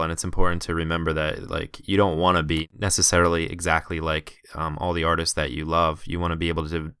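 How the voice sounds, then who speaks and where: A man's voice: sits low, fairly bright tone, clean tone, dry recording, studio quality. A man in his thirties hosting a podcast, talking in an earnest, encouraging way. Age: 30s. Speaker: podcast host. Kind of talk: casual podcast interview.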